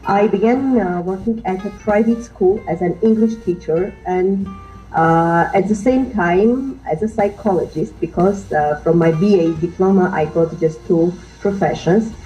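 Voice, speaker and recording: A woman in her thirties with an Armenian accent, speaking as a guest teacher on a podcast and often pausing to search for words. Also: medium pitch, mellow, lo-fi sound, faint music behind. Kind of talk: podcast interview.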